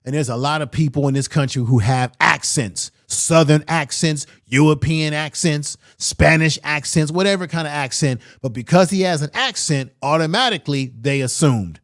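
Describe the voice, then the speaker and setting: A man's voice: a little high, normal speed, hardly any pauses, no echo, studio quality. A man hosting political commentary, reacting bluntly and fierily to clips he plays, with slangy emphatic phrasing.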